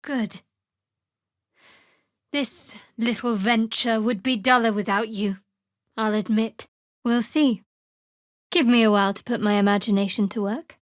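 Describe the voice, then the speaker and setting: A woman voicing a guarded, wry young female cleric of a dark goddess in a fantasy video game, with a dry, measured delivery. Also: on the high side, steady tone, no room sound, close to an everyday voice.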